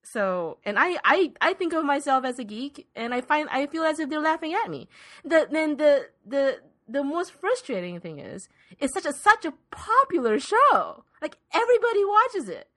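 A woman's voice: high voice, sing-song, normal speed, bright, forceful tone. A woman co-hosting a podcast, arguing casually and emphatically, often repeating words for stress. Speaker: podcast co-host. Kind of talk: casual debate.

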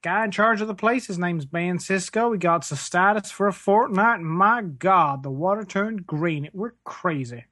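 A performer playing a whiny, earnest working-class space plumber in an improvised mock interview, rambling in a plaintive deadpan. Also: medium pitch, normal speed, hardly any pauses, no echo, studio quality. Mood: matter-of-fact.

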